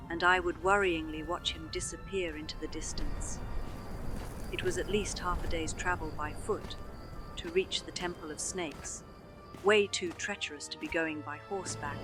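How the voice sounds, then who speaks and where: A voice actress narrating as a lone mother telling her tale in slow, measured storybook phrasing, with a music score behind her. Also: lowish voice, natural voice.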